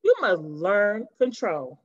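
A woman's voice: medium pitch, sing-song, slow, no room sound. A woman voicing an exasperated male drama teacher in a storybook, emphatic and dramatic, on dull webcam audio. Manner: emphatic, scolding and exhorting. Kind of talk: children's storybook character voice.

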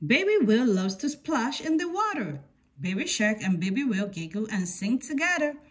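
High-pitched woman reading a children's picture book. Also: very animated, normal speed. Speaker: children's book read-aloud host. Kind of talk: scripted picture-book read-aloud for children.

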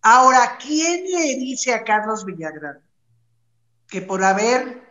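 A woman's voice: medium pitch, fairly bright tone. A middle-aged Mexican woman giving blunt, emphatic opinions as an entertainment show panelist, swearing casually. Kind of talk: opinionated celebrity commentary. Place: bright room at home. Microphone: video call, device at arm's length. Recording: roomy, slightly dull video call audio.

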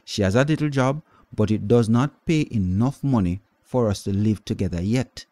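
A man voicing a gentle, worried girl who speaks softly and carefully in a storybook reading. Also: fairly low pitch, moderate pace, no echo, close to an everyday voice. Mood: earnest. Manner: soft, earnest and careful.

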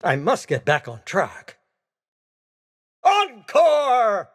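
A man voicing a theatrical, excitable skeleton gentleman in a racing video game, with courteous, exclamatory delivery. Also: high voice, drawn-out words, full character voice. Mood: dismayed, then gleeful. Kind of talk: video game character voice clips.

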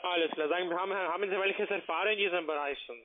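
A high-voiced man speaks with a foreign, non-native accent. He sells investments over a thin, tinny phone connection, stumbling politely through broken phrasing in a formal sales pitch. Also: rapid-fire, a little hiss or hum. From Slovakia. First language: not German. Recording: narrow-band, tinny phone line.

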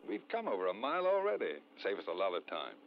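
An actor playing a dismissive, reassuring husband at the wheel in an old-time radio drama. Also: male voice, deep voice, huge pitch swings, fast talker, crowd noise, heavily acted. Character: dismissive husband driving, reassuring his nervous wife. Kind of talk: 1940s radio drama acting. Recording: muffled, noisy old-time radio recording.

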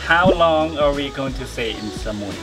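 A young man from Thailand talks in a relaxed, playful way and laughs easily while vlogging. He is recorded outdoors at a resort pool on a nearby handheld camera. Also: medium pitch, normal speed, music in the background. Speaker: travel vlogger. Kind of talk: casual chat.

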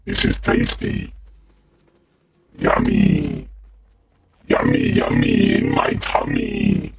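A man voicing a menacing, gleeful demon with a guttural growl, commentating on a video game in slow theatrical phrases. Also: rumbling low, monotone, full character voice. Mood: gloating, playful. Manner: slow, theatrical, drawn-out phrases with evil laughs. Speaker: gamer. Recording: muffled lo-fi audio with clear noise.

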